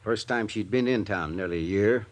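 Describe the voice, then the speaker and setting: An uneasy, folksy banker from a 1950s radio drama, acted by a man. His voice sits low, and he explains things earnestly but hesitantly, as if worried. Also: normal speed, close to an everyday voice. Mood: earnest explaining. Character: uneasy, hesitant male small-town banker with a worry.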